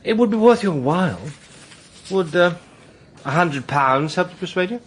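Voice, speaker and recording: An actor playing a polite, self-possessed gentleman in a radio drama, measured and well-spoken. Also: high-pitched, animated. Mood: polite, probing. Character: self-possessed gentleman posing as a visiting businessman.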